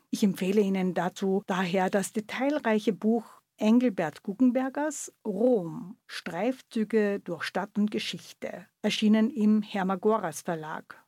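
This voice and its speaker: Measured woman narrating. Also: medium pitch, mellow, dry recording, studio quality. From Carinthia, Austria. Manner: measured, deliberate storytelling. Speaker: radio feature presenter. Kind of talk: scripted radio narration.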